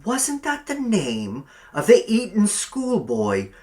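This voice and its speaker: Man playing a polite, earnest teenage boy, lively pitch. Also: takes it slowly, exaggerated voice. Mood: eager, puzzled. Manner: polite, hesitant. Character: polite, earnest seventeen-year-old boy, mildly puzzled. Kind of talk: audiobook character acting.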